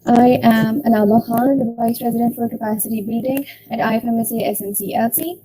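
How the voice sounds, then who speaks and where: A young woman hosting an online student session, speaking slowly and formally as she welcomes guests. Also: on the high side, fairly even intonation, dark tone, low-quality recording. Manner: slow, deliberate, formal phrasing. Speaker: event host for a student association.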